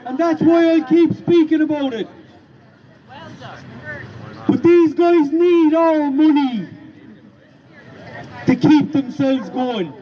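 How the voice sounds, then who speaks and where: An Irish man with a big, loud voice giving an emphatic outdoor protest speech, repeating his points with indignation. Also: very high voice, muffled recording. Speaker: rally speaker. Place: street rally outdoors.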